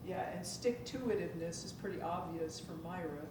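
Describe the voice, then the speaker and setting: A woman's voice: lowish voice, normal speed. A woman speaking as an author on a panel, chatting informally to an audience. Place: public room at a library event. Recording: echoey noisy room, far from mic.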